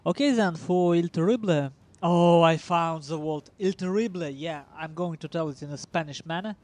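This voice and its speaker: A man with a Russian accent casually commentating a strategy game match, talking haltingly with many ums and uhs and small laughs. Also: a little high, darkish tone. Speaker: esports commentator.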